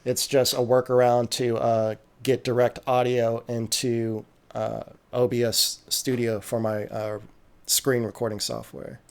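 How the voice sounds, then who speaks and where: A man hosting a music production livestream, chatting casually and reacting to beats, relaxed and full of small fillers. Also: mid-range voice, unhurried, vocal fry. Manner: relaxed, many fillers and restarts. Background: submitted beats playing.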